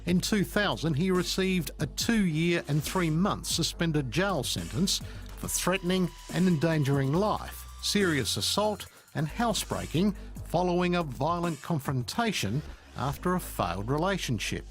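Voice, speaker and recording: A man's voice: on the high side, expressive, a little slow. An Australian man reading scripted current-affairs narration as a TV news reporter, with a punchy, sensational delivery. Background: music.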